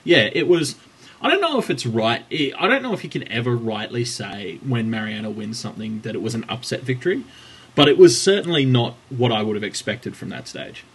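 A man's voice: mid-range voice, lively intonation, brisk, breathy edge, poor audio. A man co-hosting a podcast, chatting casually and teasing his co-host with dry humour. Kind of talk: casual chat about women's cycling.

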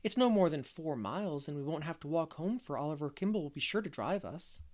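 A woman voicing a gentle young woman teacher who speaks softly and calmly in an audiobook. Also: low voice, a little fast. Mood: practical. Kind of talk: audiobook character voice.

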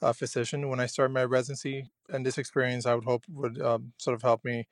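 A young man from Cuba talks earnestly and haltingly, stopping and starting often. His voice stays level and even, with little rise and fall. He sounds close to the mic in a dry, dead room. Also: medium pitch, dry recording. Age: young adult. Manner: hesitant and earnest, many fillers and restarts. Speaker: junior physician. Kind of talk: personal radio diary.

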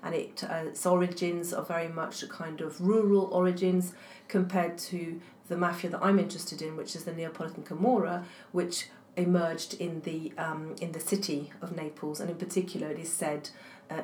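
A woman with a lowish voice whose first language is French, speaking as an academic guest in an interview. She talks in a thoughtful way and fills her pauses with plenty of ums. The recording has a roomy echo and a faint hiss underneath. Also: flat delivery, darkish tone. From England. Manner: thoughtful, many fillers and restarts. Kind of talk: interview answers. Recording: echoey room, faint noise.

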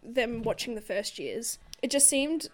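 A woman in a relaxed book chat with a friend. She talks in an animated, easy way, swears freely and breaks into laughs. Her voice sits a little high. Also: unhurried. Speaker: book podcast co-host. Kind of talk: casual chat.

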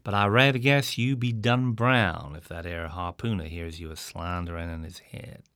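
A man voicing a wry older male innkeeper who talks in a folksy, rustic drawl, often low and hushed. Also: normal speed, no echo. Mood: teasing. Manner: rustic drawl with dropped words.

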